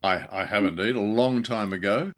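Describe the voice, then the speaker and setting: A man co-hosting a review show, talking conversationally and critically in a measured, hesitant, polite way. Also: on the low side, fairly bright tone. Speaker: podcast co-host reviewing old radio drama.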